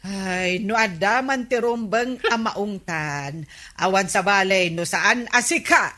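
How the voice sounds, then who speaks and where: An actress playing a questioning, scolding village captain's wife in an Ilocano radio comedy drama, with an Ilocano accent. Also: female voice, medium pitch, slow, bright, forceful tone.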